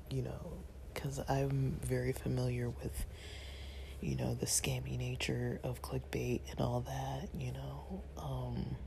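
Male vlogger, raspy and gravelly, low-key whispery ramble, a bit under the weather. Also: a little mellow, slight background noise. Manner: rambling, many fillers, half-whispered. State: a bit unwell, rested. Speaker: channel host. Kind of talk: casual monologue to viewers. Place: at home.

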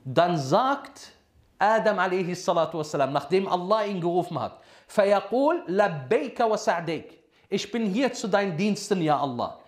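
A man's voice: high-pitched, big pitch swings, fast, reverberant. A man preaching a sermon lesson, asking his listeners questions and answering them himself, with Arabic phrases mixed into his talk. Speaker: Islamic preacher.

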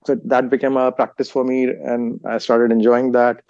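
A man's voice: medium pitch, little pitch movement, a little mellow, no room sound. Middle-aged Indian man, muffled call audio. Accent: Indian English. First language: Hindi. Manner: rambling, halting, strings together lists of places. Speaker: meditation teacher and author as guest. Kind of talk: interview answers.

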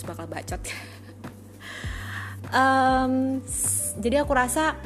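Music plays clearly behind a young woman. Her voice sits at a medium pitch, and she talks in a chatty, informal way, like a new podcaster addressing friends. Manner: chatty, informal, often chuckling. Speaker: first-time podcast host. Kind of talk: casual solo podcast chat.

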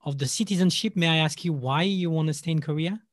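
Male interviewer, light raised pitch, curious and stammering, dry close recording. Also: fast talker, no echo. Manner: curious, stammering restarts before questions. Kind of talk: casual interview questions.

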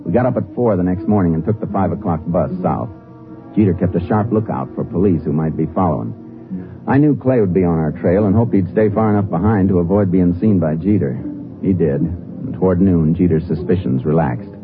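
Gruff american jailbird, real low voice, curt n wary, tinny 50s radio crime show. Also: male voice, brisk. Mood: measured narrating. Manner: gruff, curt, distrustful drawling talk. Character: undercover Texas Ranger posing as surly, guarded male jailbird. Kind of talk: 1950s radio drama acting. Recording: tinny 1950s radio recording, faint music.

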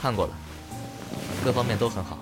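A man's voice: lowish voice, brisk, music in the background, natural voice. An actor playing a teasing, easygoing young male heartthrob in a Chinese audio drama. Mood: polite, reserved.

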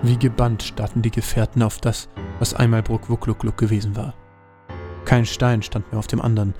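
A man's voice: fairly low pitch, level tone, normal speed, mellow, faint music behind. A man narrating a scripted fantasy audio drama as a storyteller.

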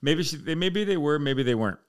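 A man's voice: a little high, lively intonation, brisk, clean tone. A man in his thirties, a fire chief, chatting casually and telling rambling stories with easy swearing. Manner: laid-back, swears casually, rambling storyteller. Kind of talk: casual podcast chat.

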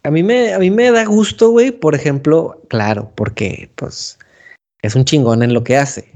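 A man in casual chat, slangy and animated, acting out quoted voices. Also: on the high side, unhurried, lo-fi sound. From Monterrey, Mexico.

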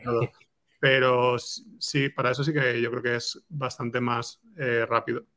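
A man's voice: medium pitch, level tone, moderate pace, fairly bright tone. A man with an accent from Spain chatting informally as an interviewed screenwriter, often checking in with short tag questions. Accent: Spain Spanish. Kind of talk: casual chat.

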